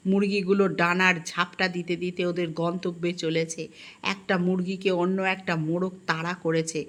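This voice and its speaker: A woman reads a first-person literary story in an expressive, melancholic and dramatic manner. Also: lowish voice. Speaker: audiobook narrator.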